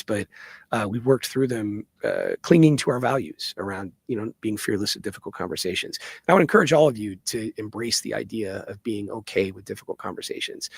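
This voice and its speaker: A man's voice: medium pitch, creaky, husky, no room sound. A man answering audience questions as a guest in an earnest, emphatic, conversational way, often checking in with "right?". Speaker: guest, startup co-founder.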